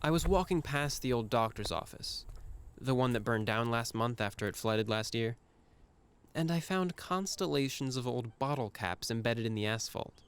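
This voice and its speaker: A man's voice: mid-range voice, normal speed, smooth. A man performing a scripted first-person monologue in a reflective, musing manner with a wry edge.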